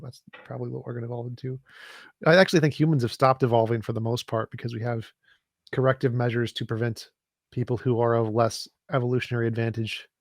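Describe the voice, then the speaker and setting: A relaxed man lays out his views in a casual podcast chat and reads viewer questions aloud. His voice sits at a middle pitch, and the recording is dry, with no room sound. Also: no room sound. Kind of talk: casual conversation.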